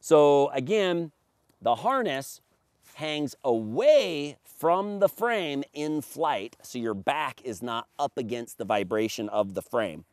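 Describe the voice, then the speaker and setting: A man's voice: medium pitch, expressive, slow pace, a little mellow, dry recording, studio quality. A man in his forties giving an emphatic, salesman-like walkthrough of his product outdoors in a grassy field, reading points off a sheet. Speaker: paramotor maker demonstrating his gear. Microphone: camera at a few meters, standing and gesturing.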